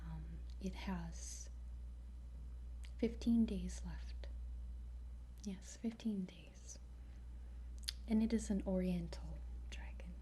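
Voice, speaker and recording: A woman whispering an ASMR roleplay as a dragon trainer, gentle and hesitant with frequent pauses. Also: on the low side, slight background noise. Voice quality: breathy whisper.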